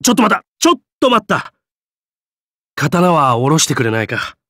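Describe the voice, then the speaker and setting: A man playing an affable, gentle gentleman adventurer in a game, speaking politely and breezily. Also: fairly high pitch, sing-song, moderate pace, dry recording, studio quality. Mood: easygoing. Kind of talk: game character voice lines.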